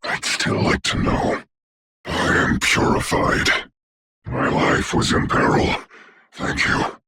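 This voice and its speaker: An actor voicing a commanding, haughty dark sorcerer for a video game, speaking in a formal, grandiose, deliberate way with a gravelly, breathy voice. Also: male voice, slight background noise. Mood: imperious, dry. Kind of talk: theatrical hero game voice lines.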